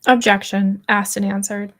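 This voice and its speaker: A woman's voice: mid-range voice, little pitch movement, normal speed, fairly bright tone, close to an everyday voice. A woman reading a deposition transcript as a defending lawyer who makes terse, formulaic objections. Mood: terse, objecting. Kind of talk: read-aloud deposition transcript.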